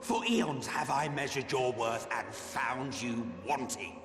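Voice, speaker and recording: A man's voice: medium pitch, takes it slowly, lightly acted. A man acting an ancient, immortal video game villain, bitter, contemptuous and grieving, with grandiose theatrical delivery. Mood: scornful. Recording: echoey hall reverb, orchestral music behind.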